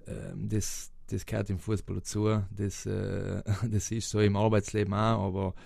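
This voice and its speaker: A man's voice: lowish voice, flat delivery, moderate pace, vocal fry, a little mellow, dry recording. A young man from South Tyrol with a South Tyrolean German accent, answering interview questions hesitantly with many fillers and restarts. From South Tyrol, Italy. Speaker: interviewed footballer.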